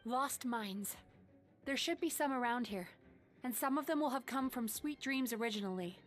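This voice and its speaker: A woman voicing a calm, compassionate young dream therapist in a video game, gentle and composed. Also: a little high, moderate pace, lightly acted. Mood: cautious. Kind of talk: English game voice acting. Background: game music.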